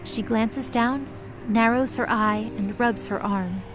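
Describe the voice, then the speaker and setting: A woman's voice: on the high side, fairly even intonation, takes it slowly, over music, low-quality recording. A woman narrating a scripted audio drama in the present tense as its storyteller.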